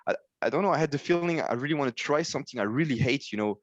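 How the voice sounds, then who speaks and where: A man's voice: mid-range voice, expressive, racing, no echo, muffled recording. A man in his twenties from Switzerland, a non-native English speaker, modestly describing himself as a guest and searching for words. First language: not English. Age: 20s. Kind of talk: casual interview talk.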